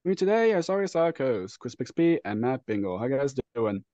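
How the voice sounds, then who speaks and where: A man's voice: medium pitch, very animated, a little fast, mellow, dry recording, muffled recording. A young man hosting a podcast on a video call, eager with many fillers. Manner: eager, repeats fillers, upbeat. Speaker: host.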